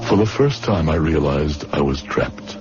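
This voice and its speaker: A naive young medical student in an old radio drama. His voice is low and swings expressively between uneasy questions and literary, confessional narration. The recording is dull, with music behind him. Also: expressive, a little slow. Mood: grave, regretful.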